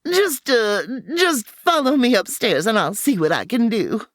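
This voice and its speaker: A woman's voice: a little high, very animated, measured pace, dry recording, clean studio sound. A woman playing a flustered older landlady who hesitates and stammers, in a comedy audio drama. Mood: reluctant.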